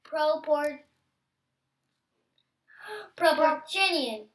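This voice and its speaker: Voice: sits high, drawn-out words, fairly bright tone. A child co-host chatting playfully and casually, chiming in and echoing words. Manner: interjects and echoes words. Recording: roomy, distant, noisy home recording.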